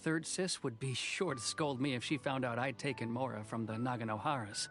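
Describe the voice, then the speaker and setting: A man's voice: medium pitch, brisk, fairly bright tone, soft background music, natural voice. An actor playing an earnest, modest young male craftsman in a video game, speaking politely and apologetically. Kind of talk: video game character acting.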